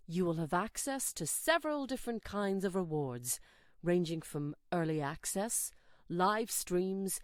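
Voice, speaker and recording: A woman reading a scripted promotional pitch, recorded dry with music behind. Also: dry recording.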